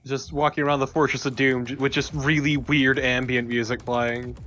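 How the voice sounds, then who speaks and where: A man banters casually as a co-host on a gaming video, swearing freely. His pitch is average for a man. There is faint game music in the background. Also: level tone, moderate pace, fairly bright tone. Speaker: let's play co-host.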